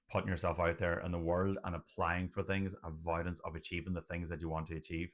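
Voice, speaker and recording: Brief: young adult Irish man, deep low voice, speaks with an audible stutter, direct to camera. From Ireland. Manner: stutters, with blocks and repeated sounds. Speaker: doctor and channel host. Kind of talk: personal talk to camera.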